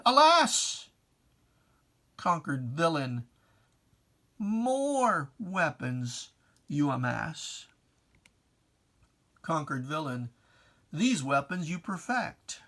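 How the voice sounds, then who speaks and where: A person giving a solemn, theatrical recitation of religious verse, declaimed with weighty pauses and a stern, taunting address. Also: medium pitch, fairly bright tone. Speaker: reader of poetry. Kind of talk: dramatic recitation of religious rhyming poem.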